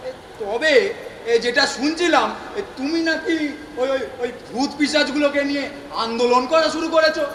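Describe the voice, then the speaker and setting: A man acts an old god who rules hell in a stage comedy. He is placating and wily, and he hesitates and stammers in a very high voice. The recording is noisy and full of room echo. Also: normal speed, pushed and bright. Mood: nervous, placating. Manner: stammering, hesitant, repeats words.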